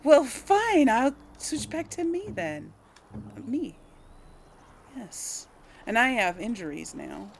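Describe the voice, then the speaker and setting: A woman's voice: fairly high pitch, lively intonation, takes it slowly, long pauses, a little hiss or hum. A woman giving casual, hesitant let's play commentary, thinking aloud to viewers as she plays. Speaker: host of a gaming let's play.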